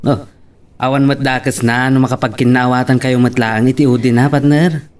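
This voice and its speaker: A man's voice: medium pitch, little pitch movement, normal speed, darkish tone, close to an everyday voice. Man acting a frank, persuasive buddy. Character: frank male friend advising his partner in love. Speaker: voice actor in a drama. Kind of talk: Ilocano audio love drama acting.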